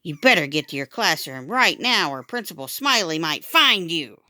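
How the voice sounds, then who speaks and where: A woman's voice: deep voice, sing-song, moderate pace, bright, forceful tone, no echo, exaggerated voice. A woman voicing a self-conscious, scheming third-grade big brother in a storybook read-aloud, confiding and warning.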